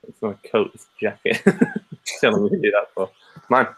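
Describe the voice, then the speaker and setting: Male co-host with a somewhat low voice, blunt, jokey and casual. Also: very animated, moderate pace, husky, poor audio. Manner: joking, blunt, swears freely. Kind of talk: casual chat over a call.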